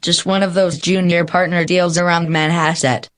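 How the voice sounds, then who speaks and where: An actor playing an easygoing young city lawyer in a 1940s radio drama, casual and wry. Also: male voice, fairly high pitch, flat delivery, normal speed, a bit bright, lightly acted.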